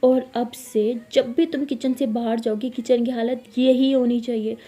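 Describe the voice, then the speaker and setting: A woman's voice: fairly high pitch, steady tone, measured pace, warm tone, natural voice. A woman voicing a strict, impatient mother who scolds her daughter firmly. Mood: scolding. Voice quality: firm, scolding.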